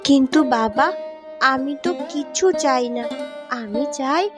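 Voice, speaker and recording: A woman's voice: high voice, unhurried. A woman voicing a poor, earnest young village daughter in a cartoon melodrama, plaintive and sad. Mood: earnest, pleading. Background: background music.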